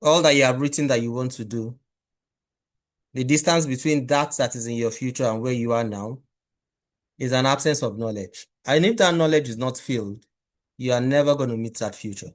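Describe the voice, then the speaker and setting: A man giving a motivational talk to a class at a medium pitch. He is measured and speaks straight to the listeners.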